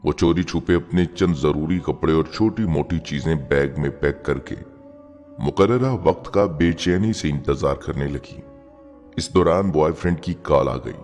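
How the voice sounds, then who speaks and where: A man's voice: extremely low pitch, a little slow, darkish tone. A man narrating a scripted moral story in an earnest, sentimental, dramatic way. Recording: long reverb, music bed behind. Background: background music.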